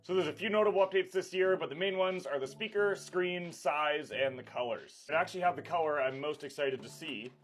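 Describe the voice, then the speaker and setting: A man's voice: a little high, fairly quick, few pauses, fairly bright tone. Man casually reviewing tech over music. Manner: informal, conversational, enthusiastic. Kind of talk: casual product review vlog. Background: background music.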